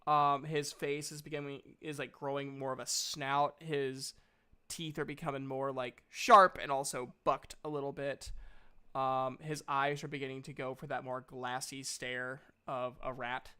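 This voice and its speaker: Halting man narrating a game, bright clear voice. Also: mid-range voice, flat delivery. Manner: halting, searching for words as he describes. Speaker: game master. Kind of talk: improvised tabletop game narration.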